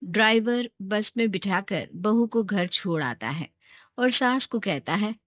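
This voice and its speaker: A woman's voice: mid-range voice, animated, unhurried, a bit bright, dry recording. A woman reading a scripted moral story as its narrator.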